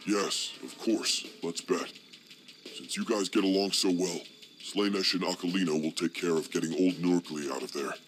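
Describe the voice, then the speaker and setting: A man voicing a scheming demon warlord in a game cutscene, speaking in a smug, slow, menacing manner. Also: sits low, faint music behind, lightly acted. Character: scheming male demon warlord, cold and commanding. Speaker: villain leader.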